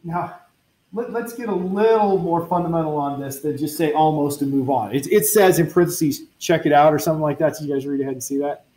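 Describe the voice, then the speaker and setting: A man's voice: fairly high pitch, fairly quick, mellow, rough recording. Man lecturing physics, thinking aloud. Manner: thinks aloud, self-correcting, chatty asides to students. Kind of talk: university lecture at a board. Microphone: lecture recording.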